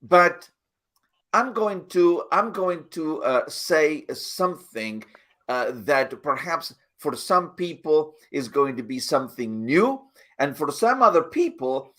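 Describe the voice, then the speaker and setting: A man's voice: on the high side, a bit bright. A man hosting a motivational live talk, speaking earnestly and often repeating his phrases. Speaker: host.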